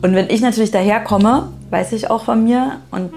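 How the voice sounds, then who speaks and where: A woman's voice: fairly high pitch, normal speed, gravelly, dark tone, soft background music. A woman hosting a homemade audio piece, talking casually and reflectively.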